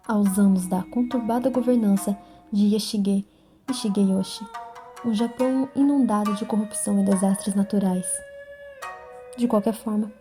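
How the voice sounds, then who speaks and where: Female drama narrator, Brazilian accent: very dark, soft-edged timbre, medium pitch, literary delivery, faint music underneath.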